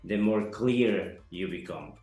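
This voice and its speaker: A man from Ecuador, giving an earnest, halting testimonial interview full of fillers. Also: low-pitched. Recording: echoey room, soft music behind.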